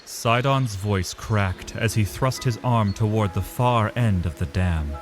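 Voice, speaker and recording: A man delivering dramatic, measured literary narration as the storyteller of a scripted fantasy tale. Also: lowish voice. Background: music.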